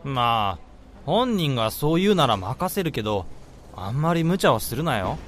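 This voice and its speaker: A man acting in a radio drama as a blunt, work-shy senior office colleague with rough, complaining, casual speech. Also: medium pitch, big pitch swings, normal speed, fairly bright tone. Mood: concerned.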